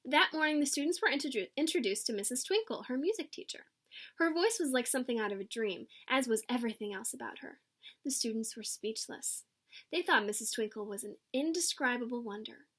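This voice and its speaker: A woman's voice: a little high, lively intonation, fairly quick, fairly bright tone. A woman reading a children's picture book aloud expressively, acting out the dialogue. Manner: acts out dialogue with varied voices. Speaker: storybook reader.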